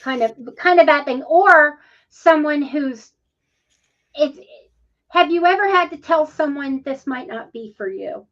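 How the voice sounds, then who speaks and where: A woman's voice: high-pitched, lively intonation, measured pace, a bit bright. Chatty woman, muffled audio. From Ohio, USA. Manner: chatty, rambling, with many ums. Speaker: podcast co-host. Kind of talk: casual interview conversation.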